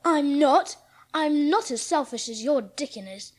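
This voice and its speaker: A woman's voice: high-pitched, expressive, a little slow. A woman playing a spoiled, self-pitying sickly young boy with a petulant, whining manner in a dramatised audiobook. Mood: petulant, jealous. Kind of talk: dramatised audiobook character acting.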